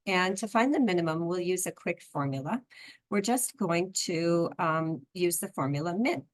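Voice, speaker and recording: A woman instructor with a froggy, hoarse voice, calmly explaining a software tutorial step by step. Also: on the low side, normal speed. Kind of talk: screen-recorded software tutorial.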